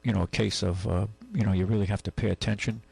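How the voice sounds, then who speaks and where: Gravelly, low-voiced man hosting radio. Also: steady tone, darkish tone, no echo. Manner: halting, rambling, conversational explanations. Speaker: radio call-in show host, car dealer. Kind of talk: casual advice on a call-in radio show.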